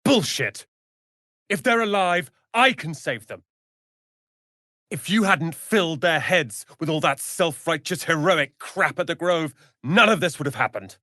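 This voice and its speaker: An actor voicing a proud, prickly young wizard's apprentice in a fantasy video game, haughty, theatrical and sharp-tongued. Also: male voice, a little high, lively intonation, a little slow, no echo, studio quality. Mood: furious.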